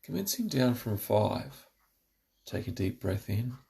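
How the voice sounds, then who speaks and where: Male relaxation narrator, lowish and extremely gravelly, giving soothing, measured instructions from a script. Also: very animated, warm tone. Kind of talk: scripted guided relaxation meditation.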